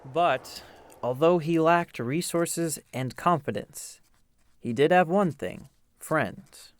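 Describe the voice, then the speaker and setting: A man narrating a historical tale as a storyteller, with theatrical, measured delivery. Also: a little high, no room sound. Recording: music under the voice. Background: musical instruments playing.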